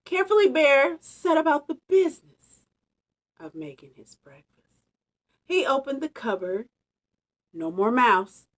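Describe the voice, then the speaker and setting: Animated, unhurried woman reading a storybook. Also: sits high, low-quality recording. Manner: animated, chatty, savouring phrases. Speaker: storytime reader. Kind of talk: expressive picture-book read-aloud with casual asides.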